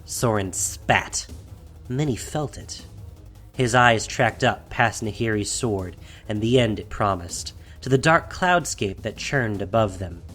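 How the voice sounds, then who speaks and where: Man narrating dark fantasy over faint music. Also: on the low side, lively intonation, a little slow. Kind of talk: dramatic scripted story narration.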